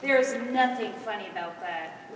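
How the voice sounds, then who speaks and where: A woman's voice: medium pitch, normal speed, natural voice. A teenage girl on stage playing a timid, earnest young woman in a comic play. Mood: nervous, earnest. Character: timid young woman who laughs at everything. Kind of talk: high school stage play acting. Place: stage in a large hall, recorded from afar. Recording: echoey hall, distant microphone, background noise.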